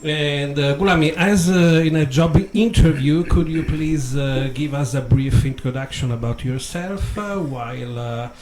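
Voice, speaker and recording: Slow, jokey Italian radio host. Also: male voice, a little high, a loud crowd. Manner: jokey, lots of "uh" fillers. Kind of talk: casual radio chat.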